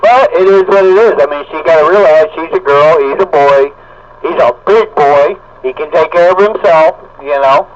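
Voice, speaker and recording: Middle-aged US male, higher-pitched, blunt folksy CB radio chatter, lo-fi muffled signal. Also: normal speed. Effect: CB radio transmission. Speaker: amateur CB radio operator. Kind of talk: casual radio chat.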